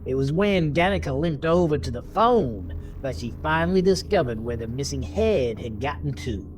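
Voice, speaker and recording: A man narrating a scripted horror audio drama in a theatrical, deliberate manner. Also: fairly high pitch, expressive, a little hiss or hum, rough recording. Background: music.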